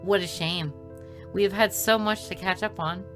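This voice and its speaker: A woman's voice: medium pitch, fairly even intonation, normal speed, a bit bright, lightly acted. A woman voicing a mysterious, confident, doll-like angelic girl while reading a visual novel aloud. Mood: teasing, confident. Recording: music behind the voice.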